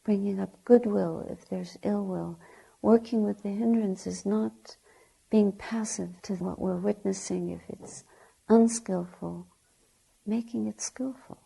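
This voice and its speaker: Woman, meditation teacher, soft husky breathy voice, heavy creak, unhurried, gentle, deliberate short phrases. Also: mid-range voice, fairly even intonation, poor audio. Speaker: Buddhist nun, meditation teacher. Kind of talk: guided meditation instruction.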